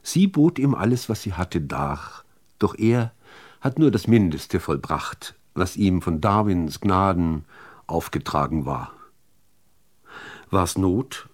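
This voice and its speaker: A man reciting literary poetry in a measured, deliberate manner with dramatic pauses between phrases. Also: deep voice, animated. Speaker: reader of poetry.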